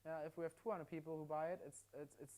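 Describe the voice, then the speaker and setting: A man's voice: fairly high pitch, little pitch movement, fast, darkish tone, a little hiss or hum. An upbeat man with a German accent walks viewers through a product on a live stream. He explains step by step and keeps checking in with little "yeah?" tags. His mic is clipped at his side. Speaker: livestream product presenter. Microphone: mic worn at his side.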